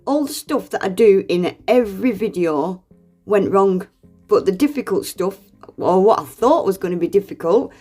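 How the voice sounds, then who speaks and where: A woman's voice: mid-range voice, animated, normal speed, mellow, soft background music. A woman, congested with a cold, chatting through a nail art tutorial voice-over in a friendly, self-deprecating way and laughing often.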